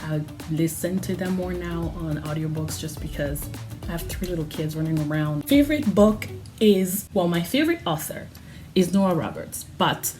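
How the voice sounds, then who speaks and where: A woman's voice: on the low side, normal speed. Chatty woman. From Democratic Republic of Congo. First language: Lingala. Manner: chatty, laughs often, lively. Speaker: vlogger. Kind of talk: casual chat to camera. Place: home. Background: background music.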